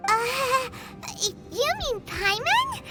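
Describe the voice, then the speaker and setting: A woman voicing a small, cheeky, excitable fairy-like girl companion in a video game, with a squeaky childlike voice. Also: extremely slow, over music, heavily acted. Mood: hesitant, realizing.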